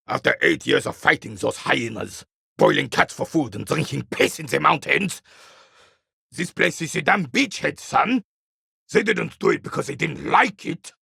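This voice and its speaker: An actor playing a bitter, proud royalist war veteran in his eighties in a video game, with a rasping, weathered voice and a haughty, formal military way of talking. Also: medium pitch, a bit bright, no room sound, studio quality, heavily acted. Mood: bitter regret, then indignant. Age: 80s. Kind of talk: video game character dialogue.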